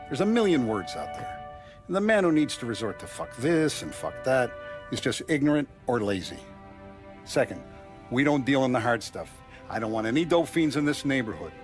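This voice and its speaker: An actor playing a calm, authoritative mafia boss who lays down rules in a measured, lecturing way, in a game cutscene. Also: male voice, mid-range voice, natural voice. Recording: faint music behind.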